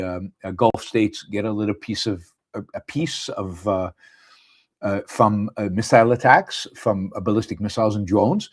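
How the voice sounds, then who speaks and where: Israeli guy hosting a live stream, middle-aged, lots of uh and um, restarts his sentences. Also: lowish voice. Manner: halting, many fillers and restarts. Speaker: podcast host. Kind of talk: live casual monologue. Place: abroad, speaking on a live stream.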